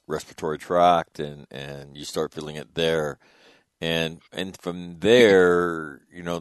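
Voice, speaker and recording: Deep-voiced male interviewer, relaxed and friendly, joking asides; dry, close recording. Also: no room sound. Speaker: interview host. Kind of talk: casual interview.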